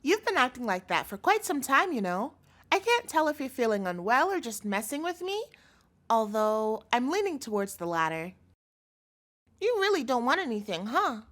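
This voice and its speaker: Woman acting a high-pitched, sweetly possessive yandere girlfriend. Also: sing-song, moderate pace, fairly bright tone. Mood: hurt, probing. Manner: coaxing, cloying, pleading. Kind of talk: scripted audio roleplay.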